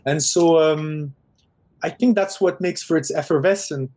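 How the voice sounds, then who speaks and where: Man, Parisian French accent, middle-aged, film director guest, lively storytelling, restarts sentences. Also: fairly high pitch. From Quebec, Canada. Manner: animated storyteller, quick bursts, frequent restarts. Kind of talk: casual interview chat.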